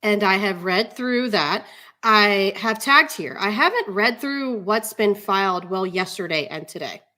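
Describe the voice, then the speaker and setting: A woman playing a measured, skeptical judge in a dramatic reading of a court hearing, speaking deliberately with hesitant pauses. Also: medium pitch, expressive, pushed and bright. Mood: measured, interrupting.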